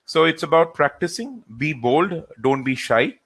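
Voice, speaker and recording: A man from India, a trainer answering audience questions in a live session, relaxed and halting with frequent fillers. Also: fairly high pitch, slightly rough. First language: Hindi or Gujarati.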